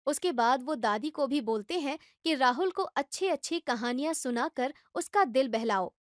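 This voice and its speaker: A woman narrating a scripted children's story in a steady, plain storytelling manner. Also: sits high, moderate pace, no room sound, clean studio sound.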